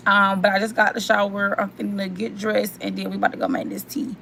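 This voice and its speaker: A young woman vlogger with a hoarse, worn-out voice, chatting and rambling straight to her viewers. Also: mid-range voice, level tone, fast talker, a bit bright, slight background noise. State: hoarse, voice mostly gone. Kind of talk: casual chat to viewers.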